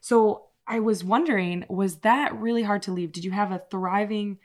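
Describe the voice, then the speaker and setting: A woman's voice: medium pitch, moderate pace. A woman hosting a podcast interview, asking questions and affirming her guests as they speak. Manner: friendly, affirming listener. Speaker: podcast host.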